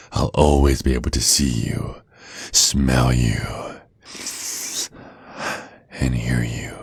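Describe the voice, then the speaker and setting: A man playing a menacing, possessive vampire neighbor in an intimate ASMR roleplay, speaking in a slow, teasing, seductive way straight to the listener. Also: rumbling low, a little mellow, no echo, clean studio sound, exaggerated voice. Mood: soothing, sinister. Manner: slow, teasing, deliberate, with breathy drops toward a whisper.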